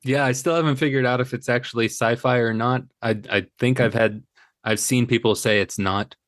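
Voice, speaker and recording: A man's voice: mid-range voice, fairly even intonation, brisk, no room sound. An American man chatting casually and enthusiastically as a podcast guest. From United States. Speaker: podcast guest, audio drama creator. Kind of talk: casual chat.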